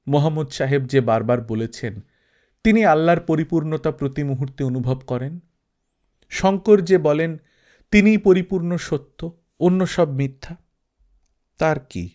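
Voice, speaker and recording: A man narrating a literary story in a measured, reflective way. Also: on the high side, a little mellow. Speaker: audiobook narrator.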